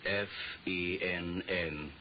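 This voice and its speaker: An actor playing a shrewd, imperious private detective in a vintage radio drama, speaking tersely and with weight. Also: male voice, deep voice, fairly even intonation, drawn-out words. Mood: brooding, terse. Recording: muffled vintage radio recording, faint noise.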